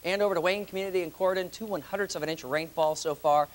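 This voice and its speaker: A man's voice: high-pitched, very fast. A man presenting a live TV weather forecast as a meteorologist, in a conversational, ad-libbed way.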